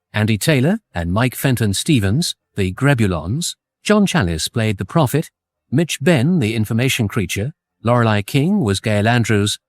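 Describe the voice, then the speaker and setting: A man's voice: mid-range voice, no echo. Formal male radio announcer, dry sound. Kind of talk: scripted credits announcement.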